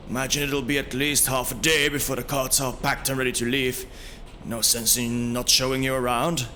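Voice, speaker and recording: A man's voice: medium pitch, sharp tone, a little hiss or hum, voice pushed up, close to an everyday voice. Man acting a seasoned brother with kindly authority. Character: seasoned traveling brother, male, genial guide turned grave commander.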